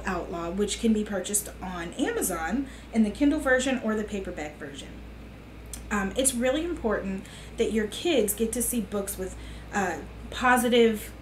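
A middle-aged woman hosting a children's storytime, speaking to young listeners and their parents. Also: mid-range voice. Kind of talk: reading a picture book aloud to children. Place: small living room on a sofa, indoors. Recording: echoey room with faint hiss.

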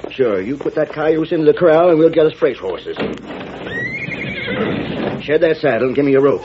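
A man playing a gruff, decisive older rancher with a folksy drawl in 1940s radio style. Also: on the high side, animated, normal speed, natural voice. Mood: brisk. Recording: noisy, muffled old radio broadcast.

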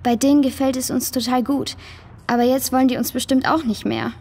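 A female performer playing a troubled, downhearted girl in a children's audio drama, with a high, husky voice. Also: steady tone, fairly quick, darkish tone. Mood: sad, ashamed. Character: troubled, downhearted foster girl lost in a cave.

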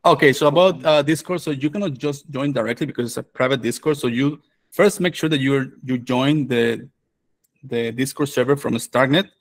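A man's voice: medium pitch, normal speed. Man hosting a video call. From Venezuela. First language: Spanish. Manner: relaxed, many fillers and restarts. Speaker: developer advocate and course host. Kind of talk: live online course introduction.

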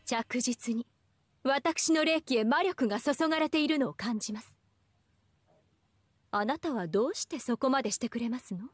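A woman's voice: a little high, normal speed, long pauses, no room sound, faint music behind, natural voice. An actress voicing a proud, elegant young goddess in an anime game, with pompous, ornate ladylike speech. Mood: softly questioning.

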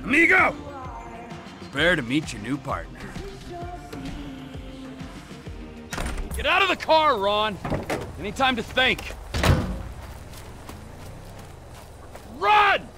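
High, volatile, mocking madman criminal. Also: wildly swooping pitch, moderate pace. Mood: manic. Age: middle-aged. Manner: sarcastic, erratic, foul-mouthed, taunting. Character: unhinged, volatile middle-aged criminal with mocking swagger. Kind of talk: video game cutscene acting. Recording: background music and game ambience.

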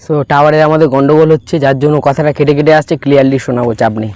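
Slangy high-voiced man, fast talker. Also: steady tone, a little mellow, no echo. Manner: slangy, blunt. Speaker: prank video host. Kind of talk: casual commentary to viewers. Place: open field outdoors.

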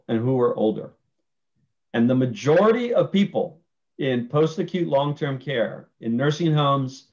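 A man's voice: mid-range voice, a little mellow. Earnest man explaining on a video call. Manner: earnest, explanatory, with frequent fillers and restarts. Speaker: panelist speaking for nursing homes. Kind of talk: virtual town hall talk. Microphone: video call webcam. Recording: muffled video call audio.

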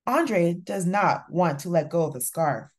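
A woman reading a children's picture book aloud in a deliberate, expressive storytelling manner. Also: on the low side. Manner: slow, deliberate, expressive delivery for young children. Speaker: children's story reader and channel host.

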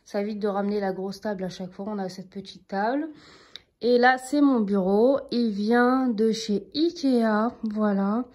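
A woman's voice: mid-range voice, a little slow, darkish tone. A woman in her thirties giving a casual, chatty tour of her living room, filming with a handheld phone and adding friendly asides to viewers. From Turkish heritage, living in France. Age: 30s. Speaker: home vlogger. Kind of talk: casual home tour narration. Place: apartment living room, indoors. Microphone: handheld phone camera, speaker off screen.

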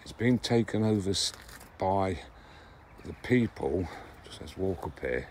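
A man's voice: deep voice, expressive, measured pace, a little mellow, a little hiss or hum. A man in his sixties from England chatting casually and rambling about his allotment harvest, often correcting himself. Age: 60s. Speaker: allotment gardening vlogger. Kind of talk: casual chat to camera. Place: allotment greenhouses and plots, partly outdoors.

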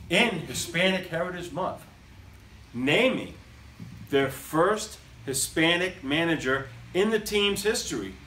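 Lively upbeat man hosting, echoey room. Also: on the high side. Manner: upbeat, joking, announcer-like. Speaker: host. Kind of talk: casual show hosting. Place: small room with brick walls and blinds, indoors. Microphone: handheld mic. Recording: echoey room, noisy, from a far camera.

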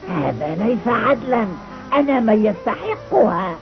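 A woman's voice: on the high side, sing-song, normal speed. A woman playing a loyal, emotional young boy hero in a dubbed fairy-tale cartoon. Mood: indignant. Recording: dull lo-fi dub with loud music.

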